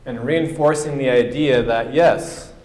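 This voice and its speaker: A man's voice: medium pitch, measured pace. A man preaching a sermon with emphatic delivery, acting out quoted voices as he goes. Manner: emphatic, acts out quoted voices, rising to near-shouting. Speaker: pastor. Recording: echoey hall with long reverb.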